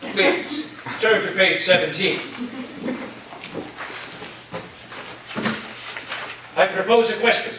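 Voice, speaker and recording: A man in a staged play reading, playing an eccentric philosophy professor with a halting, whimsical, theatrical delivery. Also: sits high, natural voice. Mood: grandly whimsical. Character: eccentric male philosophy professor full of wild conspiracy theories. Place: reverberant room, staged reading before listeners. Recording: distant echoey room recording, faint noise.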